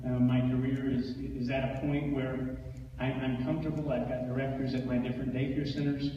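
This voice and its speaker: A middle-aged man speaks haltingly at a forum, with a very even, mellow delivery. The sound is distant and swimming in echo. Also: medium pitch, a little hiss or hum. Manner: halting, repeats words. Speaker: school board applicant, former member. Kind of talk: answering at a public forum. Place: large echoey room at public forum. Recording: echoey hall, far off, dull.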